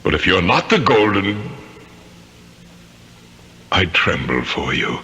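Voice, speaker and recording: An actor playing the remorseful, tormented ghost of a centuries-old murderer in a 1970s radio drama, solemn and theatrical with dramatic repetitions. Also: male voice, low-pitched, very animated, slow, bright, forceful tone, breathy edge, exaggerated voice. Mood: grave, pleading. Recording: 1970s radio broadcast with music.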